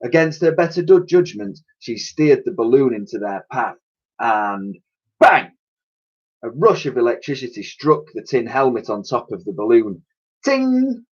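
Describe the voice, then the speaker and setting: A man's voice: medium pitch, sing-song, a little slow, breathy edge, dull audio. A man reading a children's story aloud like a teacher, with theatrical, suspenseful narration and emphatic sound effects spoken by mouth.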